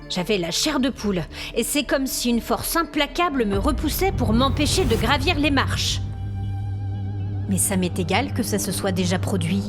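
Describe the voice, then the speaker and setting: A woman's voice: a little high, natural voice. A woman playing an exasperated, haughty hotel guest with complaints, in an acted audio fiction monologue. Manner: haughty, aggrieved, demanding. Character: indignant, exasperated female hotel guest complaining about ghosts.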